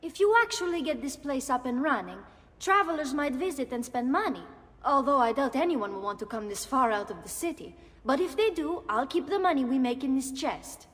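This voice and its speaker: An actress voicing an indignant, sarcastic young Italian sister in a game, with an Italian accent and an exasperated, emphatic delivery. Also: female voice, high-pitched, lively intonation, brisk, a bit bright, in a large hall. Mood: grudging, sarcastic.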